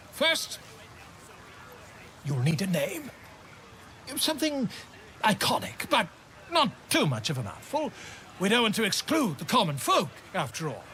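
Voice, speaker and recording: A man's voice: high-pitched, bright, forceful tone, a little hiss or hum, heavily acted. A man voicing a pompous, theatrical author full of self-importance in a fantasy video game. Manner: pompous, florid, declaiming. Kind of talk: video game dramatic acting.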